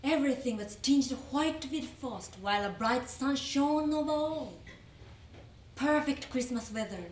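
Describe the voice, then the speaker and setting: Slow, high-voiced woman reciting, echoey. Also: lively intonation, a little hiss or hum. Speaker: reader in a readers theater performance. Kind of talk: dramatic recited reading of a wartime letter. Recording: echoey room.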